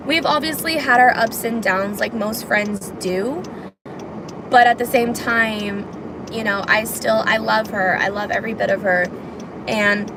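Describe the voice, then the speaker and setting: A hoarse woman who is losing her voice, chatting in a rambling way as she drives on a freeway. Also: on the high side. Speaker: vlogger. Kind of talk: casual chat while driving. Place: car on a freeway. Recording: loud road noise in a car. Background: loud car road noise.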